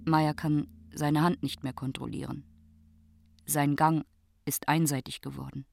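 A woman's voice: sits low, little pitch movement, a little slow, creaky, darkish tone, soft background music. A woman narrating a scripted literary radio drama in terse, rhythmic, repetitive phrases.

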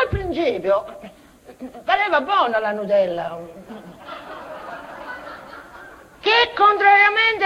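High-pitched unhinged former schoolteacher woman. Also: sharp tone, exaggerated voice. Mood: gleeful, manic. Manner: halting, repetitive, swinging between outbursts and muttering. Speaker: stage actress in a monologue. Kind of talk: theatrical comic-tragic monologue, broken invented dialect. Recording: dull, noisy theatre recording.

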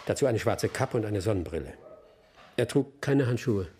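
An actor in a radio crime drama playing a patient middle-aged detective, questioning politely and methodically in a husky voice. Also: male voice, lowish voice, animated, moderate pace, darkish tone.

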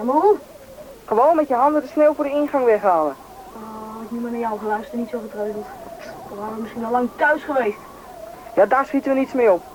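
Scared young boy lost in snow, high voice, radio drama, very noisy recording. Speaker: actor in a radio play. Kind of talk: Dutch radio drama acting. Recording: noisy radio play with storm ambience.

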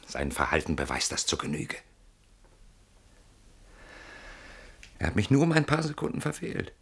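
An actor playing a frightened, suspicious man in a radio play, speaking in a hushed, agitated and insistent way. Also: low voice, wildly swooping pitch, quick pace, gravelly, husky, exaggerated voice. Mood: grimly suspicious. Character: frightened, suspicious man hiding from a stalker at night. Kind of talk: German radio play acting.

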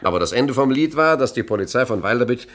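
An actor playing a cunning, self-assured gang boss in a radio crime comedy, chatty and nonchalant. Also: male voice, mid-range voice, lively intonation, fast, natural voice. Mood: breezy. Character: breezy, cunning master criminal of the twenties, gang boss. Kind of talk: radio play acting.

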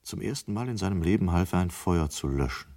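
A man narrating a German radio play in a measured, literary way. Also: low voice, animated, darkish tone.

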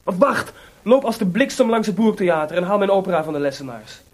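An actor in a Dutch radio drama playing a proud, debt-ridden composer with a theatrical, sarcastic manner. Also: male voice, sits high, expressive, brisk. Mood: irritated, scornful. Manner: theatrical, sarcastic, swinging from muttering to shouting.

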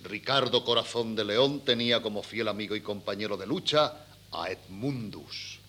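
A man's voice: fairly low pitch, normal speed, bright, forceful tone. An actor playing a proud, blustering middle-aged father, easily embarrassed, in theatrical 1970s radio-play style. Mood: proud, grandiose. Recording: hissy, humming old cassette recording.

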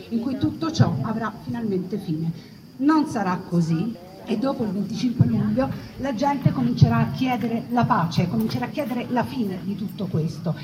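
A woman with a Roman Italian accent delivering a theatrical historical monologue, with emphatic repetition, rhetorical questions and wry colloquial asides. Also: on the high side. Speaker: storyteller performer. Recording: noisy, distant live recording with ambience.

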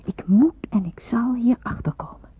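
A woman's voice: fairly high pitch, moderate pace, close to an everyday voice. A woman playing an earnest, fervent believer in wartime, delivering a dramatic, intense and reflective radio play monologue. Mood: fervent, questioning. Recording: muffled phone-like old radio play recording.

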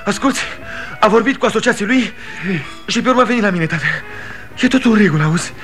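A man's voice: high-pitched, animated, fairly bright tone, faint music behind. An actor playing an ashamed young grown son in a 1960s radio drama, urgent and pleading with his father. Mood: eager.

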